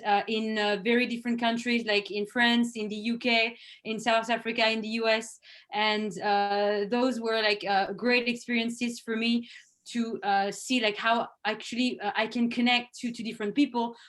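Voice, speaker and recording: A woman's voice: a little high, a bit bright. Earnest woman presenting over video call. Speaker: presenter, head of sales. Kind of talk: virtual presentation. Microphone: video call microphone.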